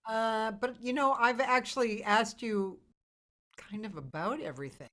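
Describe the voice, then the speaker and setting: A woman hosting a casual live interview, speaking informally and haltingly as she thinks aloud. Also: on the high side, a bit bright. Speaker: host, interviewer.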